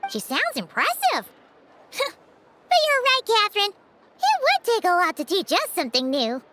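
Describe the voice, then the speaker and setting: Casting: a tiny boastful fairy sidekick, very high, bouncy delivery, game music under it. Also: female voice, normal speed, very noisy, heavily acted. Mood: cheerful. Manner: excitable, chirpy, talks of herself in third person. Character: tiny floating female fairy companion, bubbly and boastful. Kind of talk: video game cutscene dialogue.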